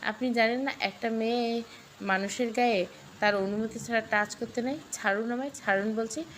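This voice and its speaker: A woman's voice: on the high side, fairly even intonation, moderate pace, a little hiss or hum, close to an everyday voice, poor audio. A woman voicing a sassy, defiant young wife in a storybook, coy and feisty. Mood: angry. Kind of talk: storybook dialogue.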